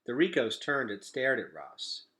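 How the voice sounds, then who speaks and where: Bright, forceful male storyteller. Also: mid-range voice, sing-song, unhurried. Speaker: read-aloud storyteller for children. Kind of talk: expressive scripted storybook narration.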